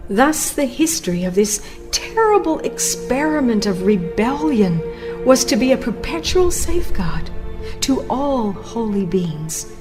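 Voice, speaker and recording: Slow, solemn woman narrator over music. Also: on the high side, very animated. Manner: solemn, emphatic, deliberate dramatic reading. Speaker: audiobook narrator. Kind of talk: dramatized scripted narration of a religious text.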